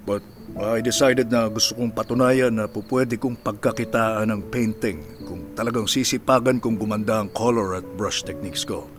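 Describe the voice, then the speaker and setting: A man's voice: lowish voice, steady tone, moderate pace, soft background music, close to an everyday voice. A man playing a genial older father and company chairman in a radio drama, reminiscing and mixing in English. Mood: nostalgic. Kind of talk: radio drama acting, storytelling.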